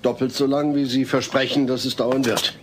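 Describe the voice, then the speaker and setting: A man's voice: mid-range voice, flat delivery, moderate pace, close to an everyday voice. An actor playing an exasperated, earnest suburban father-to-be in a sitcom audio play, a little fussy. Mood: firm. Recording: noisy old cassette audio.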